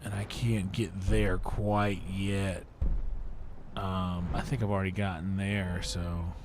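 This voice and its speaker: A man's voice: low-pitched, flat delivery, slow, noisy background. A man hosting a game livestream, chatting casually and rambling as he thinks aloud and mutters to himself. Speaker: livestream host and gamer. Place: indoor livestream setup.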